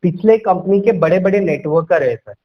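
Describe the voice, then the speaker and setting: High-voiced man on a persuasive sales call. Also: moderate pace. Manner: persuasive, repeats himself, mixes in English words. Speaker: sales coach making a sales call. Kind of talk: conversational phone sales pitch. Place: at home. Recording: dull lo-fi call recording.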